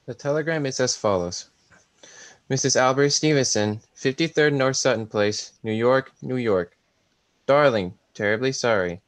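A man's voice: medium pitch, normal speed, no room sound, natural voice. A man playing a businesslike telegraph clerk in a radio thriller, formal and matter-of-fact. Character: businesslike male telegraph clerk reading a message. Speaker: actor in a student radio play.

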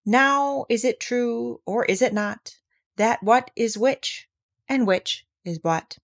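A woman voicing a good-natured, slow-witted male bear in a storybook, slow and earnest in manner. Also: on the high side, vocal fry, pitched above the performer's own voice, full character voice. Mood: dreamy reciting.

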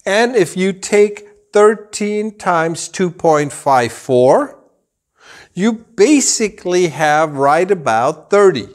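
A man in his forties, raised in Germany, a rug dealer explaining things to camera in a showroom, slow and deliberate with gestures. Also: sits high, lively intonation. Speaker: rug dealer and channel host. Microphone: camera at a few meters, full body framing.

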